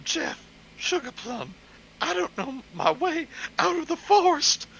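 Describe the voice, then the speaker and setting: A man's voice: extremely high pitch, big pitch swings, unhurried, gravelly, bright, forceful tone, lo-fi sound, a little hiss or hum, pitched above the performer's own voice, full character voice. A man voicing a cutesy, lovestruck girl from a romance story in a sugary, simpering manner. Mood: sugary, coy.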